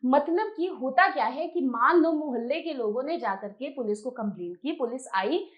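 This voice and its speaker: An Indian woman with a high-pitched voice teaches as if to a class. She explains point by point in an emphatic, conversational manner, often asking a question and then answering it herself. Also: expressive, moderate pace, dull audio. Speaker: teacher, exam coaching presenter. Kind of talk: explanatory lecture mixing Hindi and English.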